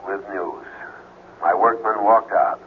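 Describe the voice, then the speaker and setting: A man acting a grave, determined ghost hunter in a radio drama, speaking in a measured, earnest, foreboding way. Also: on the low side, fairly even intonation. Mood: urgent, firm. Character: grave, determined male ghost hunter, steady under pressure. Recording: noisy, muffled old radio broadcast.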